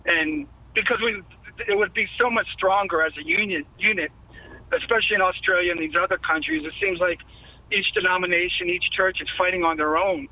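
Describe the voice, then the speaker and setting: A man's voice: high-pitched, steady tone, a little fast. A man from New York calling into a radio show, rambling and hesitant with restarts. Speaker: caller. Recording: phone line.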